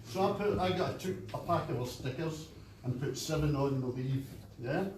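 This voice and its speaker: Scottish man from the audience, chatty anecdotal rambler, fairly high voice, roomy distant sound. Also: moderate pace, darkish tone. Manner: chatty, anecdotal, laughs at himself. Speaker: audience member. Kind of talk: rambling floor comment. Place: meeting room at a conference fringe event. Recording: echoey room, distant, faint noise.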